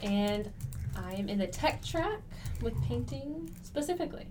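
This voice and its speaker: A woman's voice: a little high, lively intonation, unhurried, noisy background. A young woman from Texas, a theater student guest, speaking in a friendly, earnest way. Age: young adult. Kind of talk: casual group chat.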